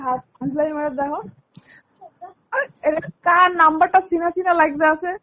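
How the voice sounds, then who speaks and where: A woman's voice: high-pitched, fairly even intonation, normal speed, bright, forceful tone. A middle-aged woman answering a phone call in a curt, suspicious manner, on a muffled recording. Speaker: prank call recipient. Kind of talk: casual phone conversation.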